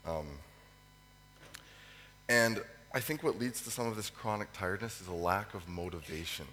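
A man preaches through a cold, his voice hoarse and fading. He talks in a fairly low register, halting with ums and pausing to clear his throat. The sermon rings through a reverberant hall over a faint hum. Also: bright, forceful tone. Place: church hall. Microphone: microphone.

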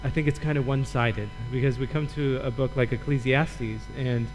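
A man from Michigan, a guest preacher and Old Testament professor, teaching a sermon in a relaxed, lightly joking way. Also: mid-range voice, normal speed, soft background music, rough recording.

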